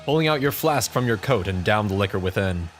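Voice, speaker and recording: A man's voice: on the low side, animated, fairly quick, faint music behind. A man narrating a scripted second-person story as the narrator of an audio drama.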